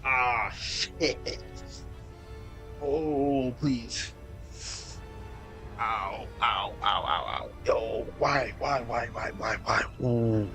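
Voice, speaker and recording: A man's voice: medium pitch, extremely slow, bright, forceful tone, faint music behind. A young man casually commenting over his gameplay, slangy and loose, talking half to himself. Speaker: gaming streamer. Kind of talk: casual let's-play commentary.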